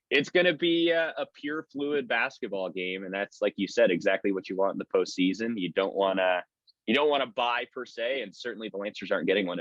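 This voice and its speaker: A man's voice: on the low side, fairly bright tone, no room sound. A man who works as a play-by-play announcer, giving conversational sports analysis in an interview. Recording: slightly dull lo-fi audio.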